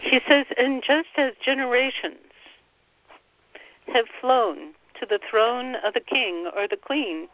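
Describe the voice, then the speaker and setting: A woman leading a slow guided meditation, softly passing on a spiritual guide's words phrase by phrase. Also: fairly high pitch. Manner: soft, relaying every phrase with "he says". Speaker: channeler relaying a spiritual guide. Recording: dull, dry lo-fi recording.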